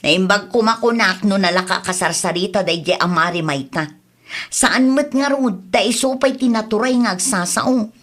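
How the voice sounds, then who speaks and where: A woman's voice: medium pitch, normal speed, a bit bright, close to an everyday voice. A woman with an Ilocano accent playing a nagging, aggrieved costume renter in a comedy audio drama. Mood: complaining. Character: aggrieved costume-rental woman, exasperated daughter, insistent.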